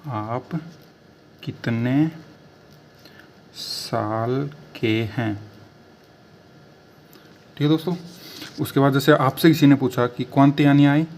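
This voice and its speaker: A man gives a slow, careful language lesson. He spells phrases out word by word and lets each one hang before going on. A faint hiss of room noise sits under his voice. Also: medium pitch, steady tone, a little mellow. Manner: repeats phrases word by word, drawn out. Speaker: language teacher.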